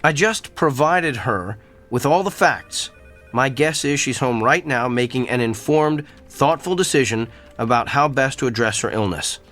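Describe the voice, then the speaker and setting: An actor playing a blunt, cerebral doctor in a radio drama, with a precise, pedantic, clinical manner. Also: male voice, mid-range voice, animated, a little slow, a bit bright, soft background music. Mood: matter-of-fact.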